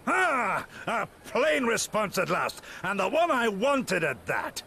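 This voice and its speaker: A proud theatrical seafaring traveler for a fantasy game, slow archaic speech, wildly swooping pitch, some noise behind. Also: male voice, big pitch swings, sharp tone, exaggerated voice. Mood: proud, boastful. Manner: grandiloquent, theatrical, old-fashioned formal speech. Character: archaic-speaking proud, hardy foreign seafaring traveler man.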